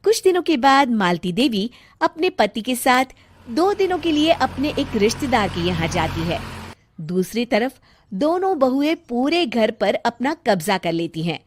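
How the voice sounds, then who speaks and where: Female storyteller, calm measured read, high and unhurried. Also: slight background noise. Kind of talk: scripted storybook narration.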